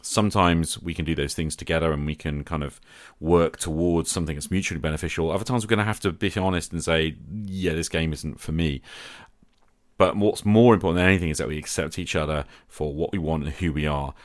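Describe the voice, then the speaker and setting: A man's voice: a bass voice, brisk, no echo, studio quality. A man hosting a podcast, talking conversationally in an earnest, reflective manner. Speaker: podcast host.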